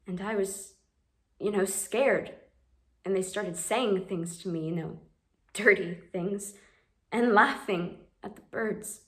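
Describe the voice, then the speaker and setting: A woman's voice: medium pitch, takes it slowly, close to an everyday voice. A woman performing a monologue as a plainspoken young woman haunted by a cruel memory, telling it in simple words. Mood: wistful. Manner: plainspoken storytelling, builds to screams. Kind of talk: audition monologue.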